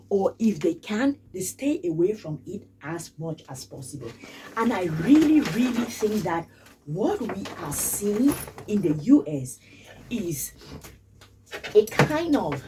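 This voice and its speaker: A woman's voice: mid-range voice, far from the mic, noisy background. A woman from Cameroon, giving casual spoken commentary in an emphatic way and repeating phrases for stress. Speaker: vlogger.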